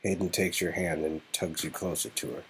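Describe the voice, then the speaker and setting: A man's voice: deep voice, steady tone, a little fast, a bit bright, slight background noise, poor audio. A man reading an interactive story game aloud as narrator, with playful, goofy asides. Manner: goofy, rambling ad-libs. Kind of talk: playful dramatic read-aloud with silly ad-libbed asides.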